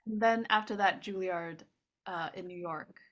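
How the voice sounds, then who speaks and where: A young woman from Singapore, a pianist being interviewed, talking in a hesitant, soft-spoken way with many pauses. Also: fairly low pitch. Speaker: guest pianist. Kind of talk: casual interview chat.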